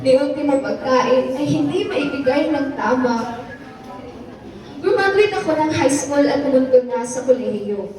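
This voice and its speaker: A woman's voice: high voice, lively intonation, unhurried, crowd noise. A woman playing an eighteen-year-old who narrates her own life story with regret, in scripted radio drama style. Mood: regretful. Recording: echoey hall, distant, music behind.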